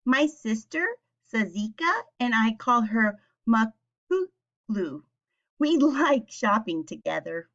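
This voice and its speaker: A woman teacher reading a picture book aloud and speaking to young children with simple questions and clear explanations. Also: fairly high pitch, animated, slow, fairly bright tone, highs cut off.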